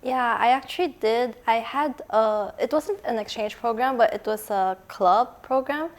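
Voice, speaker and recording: A young woman on a student panel, lively and chatty as she tells about a trip, laughing easily. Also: a little high, measured pace. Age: young adult. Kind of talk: casual storytelling.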